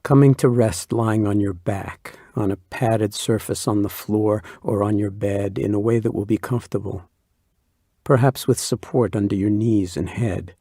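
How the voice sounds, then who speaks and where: A man leading a guided body scan meditation, speaking slowly in a soft, soothing way. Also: lowish voice, gravelly, breathy edge, dry recording, studio quality. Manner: slow, soft-spoken and soothing.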